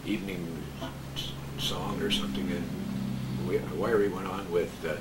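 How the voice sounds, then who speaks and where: Older man, very low voice, halting recollection, casual chat, echoey distant mic, noisy background. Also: steady tone. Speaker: guest, former radio broadcaster.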